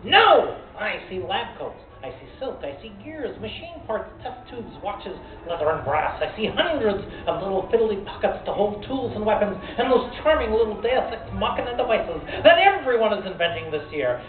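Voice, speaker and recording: A woman's voice: mid-range voice, big pitch swings, moderate pace, few pauses, over music, natural voice. A woman playing a snooty, theatrical robot tailor who sprinkles in French phrases in a comic radio play. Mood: catty, theatrical. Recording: echoey, tinny, distant live hall with noise.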